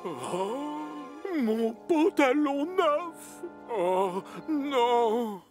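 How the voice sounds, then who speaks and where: High-voiced pompous railway boss. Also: male voice, very animated, very slow, over music, full character voice. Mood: exasperated anger. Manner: pompous, indignant. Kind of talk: cartoon dub acting.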